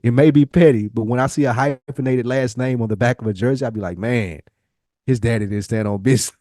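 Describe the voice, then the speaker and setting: A man's voice: mid-range voice, fast talker, dark tone, dry recording, clean studio sound. A man chatting casually and candidly as a podcast co-host, using plenty of slang. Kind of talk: casual conversational podcast chat.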